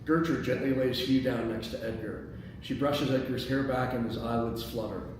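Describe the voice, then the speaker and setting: A man with a medium-pitched voice hosts and narrates a script table read. He is picked up from a distance in a very echoey indoor space with noticeable noise. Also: moderate pace. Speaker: host and stage-direction reader. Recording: very echoey room, distant mic, background noise.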